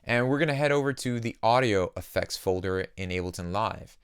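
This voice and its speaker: A man narrating a casual music software tutorial, explaining each step in simple terms as the host. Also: on the low side, animated, fairly quick, clean tone. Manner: explanatory, uses simple analogies.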